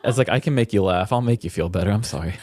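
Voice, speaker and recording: A man's voice: on the low side, very fast, a little mellow, no room sound, clean studio sound. A man co-hosting a podcast, telling stories in a casual, comedic and chatty way. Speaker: podcast co-host.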